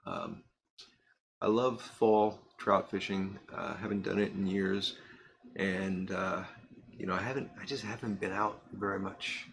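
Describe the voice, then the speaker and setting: A middle-aged man chatting casually to camera in a cluttered workshop, rambling with fillers and pausing to puff on a pipe. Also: low voice, creaky, a little hiss or hum. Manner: relaxed and rambling, many fillers and restarts; pauses to puff on a pipe while talking. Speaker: pipe-smoking vlogger. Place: cluttered workshop room, indoors.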